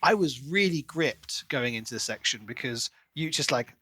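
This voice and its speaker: A man co-hosting a podcast, chatting casually and enthusiastically about a book in an expressive way. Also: mid-range voice, moderate pace, slightly rough. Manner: enthusiastic, expressive, acts out quoted lines. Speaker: podcast co-host.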